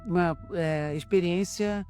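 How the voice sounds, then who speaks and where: A Brazilian woman from a radio drama cast, explaining the production in measured interview talk. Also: lowish voice, a little mellow. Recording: music behind the voice.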